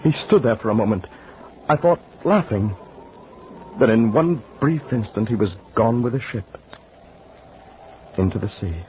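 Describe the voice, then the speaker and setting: An actor playing a shaken man who witnessed a murder at sea, telling it in halting words with stammered repeats, in 1940s radio drama style. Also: mid-range voice, animated, lightly acted. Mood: haunted. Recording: dull old radio recording with faint hiss.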